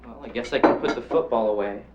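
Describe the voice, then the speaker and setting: A man's voice: on the low side, fast talker, lo-fi sound, a loud crowd, natural voice. A young male actor playing a disillusioned, bitter teenage son in a 1980s educational film.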